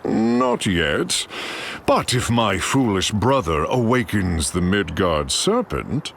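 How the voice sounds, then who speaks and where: A man's voice: fairly low pitch, sing-song, takes it slowly, vocal fry, a bit bright, slight background noise, exaggerated voice. A man playing a proud, noble warrior god of thunder in a video game, with stately, archaic, declamatory delivery. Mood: proud, resolute. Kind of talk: theatrical video game voice acting.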